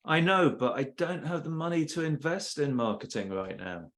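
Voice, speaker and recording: A man's voice: fairly high pitch, lively intonation, moderate pace, a bit bright. A man playing a practical, cash-strapped small business owner in an amateur comic story. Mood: weary, practical. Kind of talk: amateur comic audio drama.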